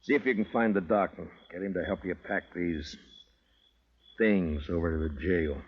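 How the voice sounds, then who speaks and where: An actor playing a stern, weary Old West marshal in a terse 1950s radio western style. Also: male voice, low-pitched, moderate pace, noisy background. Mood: firm, measured. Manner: terse, laconic. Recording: muffled old radio recording.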